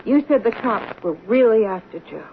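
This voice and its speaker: A woman's voice: fairly high pitch, lively intonation, normal speed. An obsessed, accusing woman in 1970s radio drama. She is stubborn, terse and insistent, sounding narrow and tinny with faint noise behind her. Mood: aggrieved, accusing. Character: obsessed, accusing woman who mistakes fiction for reality.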